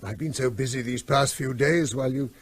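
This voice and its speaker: An actor playing a reserved, evasive middle-aged vet in a radio drama. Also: male voice, mid-range voice, fairly quick, slightly rough, close to an everyday voice. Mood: subdued. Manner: subdued, evasive. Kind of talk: 1970s radio drama acting.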